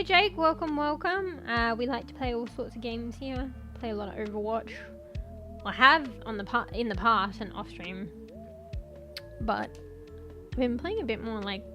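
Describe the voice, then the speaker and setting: Woman streamer, fairly high voice, chatty and rambling, a bit hoarse, music bed behind. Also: bright, forceful tone. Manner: rambling, filler-heavy, swears casually. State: losing her voice, coughing. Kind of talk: casual chat with stream viewers.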